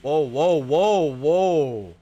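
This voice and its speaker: Guy streaming a game, reacting real slow and casual, bit high pitched. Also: animated, dark tone, slight background noise. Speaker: gameplay streamer.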